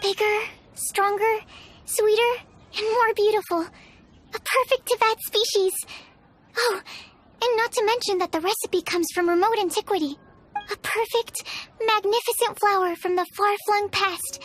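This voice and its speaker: A woman's voice: very high-pitched, steady tone, a little slow. A woman voicing a geeky young female alchemist in a video game, fervently enthusiastic and breathless. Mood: fervent, excited. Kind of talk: video game character acting.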